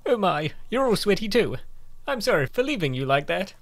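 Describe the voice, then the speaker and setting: A woman's voice: mid-range voice, big pitch swings, fairly quick. A woman voicing a polite, earnest, concerned young man from a comic. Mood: concerned, apologetic. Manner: considerate, apologetic, earnest.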